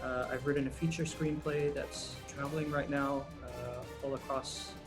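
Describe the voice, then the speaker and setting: A man's voice: mid-range voice, normal speed, music in the background. Laid-back man, filmmaker guest. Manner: relaxed, rambling, many ums and uhs. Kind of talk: casual interview answers.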